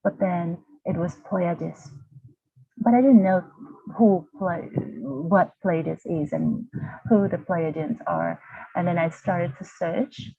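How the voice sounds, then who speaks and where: A woman's voice: fairly low pitch, takes it slowly, vocal fry, dark tone, husky, no echo. A woman, telling her personal story as a guest, hesitant and restarting often as she searches for words. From Vietnam. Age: 40s. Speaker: guest, university lecturer. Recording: tinny, dry video-call audio.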